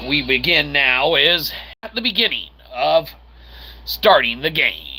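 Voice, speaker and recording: A man's voice: a little high, very animated, unhurried, sharp tone, slightly rough, poor audio. A man hosting a casual, rambling game livestream in a jokey, mock-earnest showman manner. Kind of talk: casual rambling let's-play commentary.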